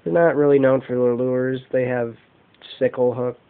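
A man giving an unscripted show-and-tell as an amateur vlogger, talking in halting, short phrases on a camera microphone indoors. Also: medium pitch, fairly even intonation, clear, resonant tone. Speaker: amateur fishing vlogger showing lures. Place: indoors, carpeted room at home. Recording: dull, muffled lo-fi home video.

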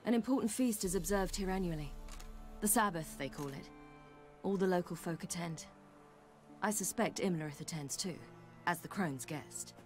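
A woman's voice: lowish voice, normal speed, close to an everyday voice. A woman playing a determined young warrior in a fantasy game, with a British accent and earnest, formal phrasing. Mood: measured, explaining. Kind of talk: cinematic fantasy game acting. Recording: music behind the voice. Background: game music.

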